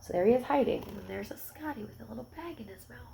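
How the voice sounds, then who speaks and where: A woman reading a picture book aloud to young children, expressive, with gentle explanatory asides. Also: on the high side, quick pace, gravelly, noisy background.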